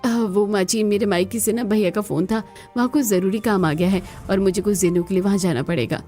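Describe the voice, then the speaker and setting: A woman's voice: mid-range voice, fairly quick, mellow, soft background music, natural voice. A woman voicing a kindly, trusting young mother and elder daughter-in-law in a family cartoon, affectionate and reassuring. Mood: hesitant. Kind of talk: Hindi family cartoon voice acting.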